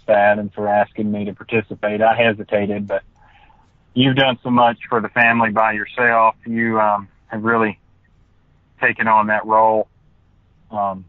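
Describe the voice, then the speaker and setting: A tired man with a raspy voice, speaking halting and earnest, with many fillers, as a guest. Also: on the low side, no room sound. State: tired, late at night. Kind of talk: casual conversation. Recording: tinny phone line.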